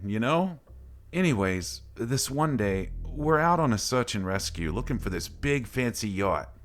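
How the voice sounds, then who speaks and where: A man voicing a boastful drunken barfly, a former Coast Guard medic, telling coarse, rambling tales in a horror narration. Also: fairly low pitch. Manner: slurred, coarse, rambling talk.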